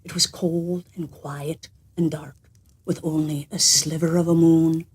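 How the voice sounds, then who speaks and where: A woman's voice: sits low, flat delivery, a little mellow, slight background noise. Theatrical woman storyteller. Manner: theatrical, suspenseful, deliberate. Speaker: storyteller and host. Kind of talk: animated scripted storytelling.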